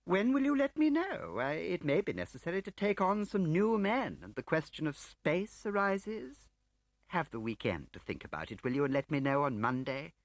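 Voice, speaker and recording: A man's voice: a little high, sing-song, normal speed, no room sound, pitched above the performer's own voice. A man voicing a pompous, ingratiating civil service chief in an audiobook, with a hesitant, upper-class English delivery. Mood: falsely genial. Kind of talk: audiobook character acting.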